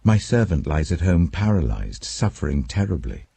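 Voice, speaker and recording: A humble Roman soldier, played by a man in a scripture audiobook. He speaks earnestly and firmly in a very low, gravelly British voice, with a dry, close sound. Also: sing-song, moderate pace, mellow, no echo, full character voice. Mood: pleading. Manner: respectful, firm, earnest.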